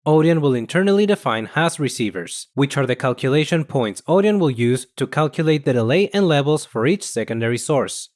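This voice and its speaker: A man reading scripted instructional narration in a measured, explanatory manner. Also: medium pitch, lively intonation, darkish tone. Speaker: software tutorial narrator.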